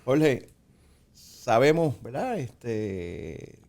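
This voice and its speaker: A man's voice: mid-range voice, sing-song, extremely slow, vocal fry, a little mellow. Male podcast host asking short questions. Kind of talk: casual interview. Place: Puerto Rico.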